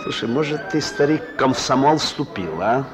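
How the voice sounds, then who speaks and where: An actor playing a hard, sardonic younger thief in a radio play, curt, slangy and used to giving orders. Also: male voice, medium pitch, lively intonation, normal speed, lightly acted. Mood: defiant, scornful. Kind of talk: mid-century radio play acting. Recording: old radio recording with music behind.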